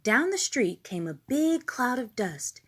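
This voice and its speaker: A woman reading a children's storybook aloud in an expressive narrating style. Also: a little high, unhurried, husky.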